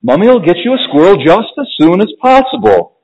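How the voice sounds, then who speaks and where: A man voicing an indulgent mother who placates her spoiled child in a soft, soothing way. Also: mid-range voice, very animated, unhurried, dull audio.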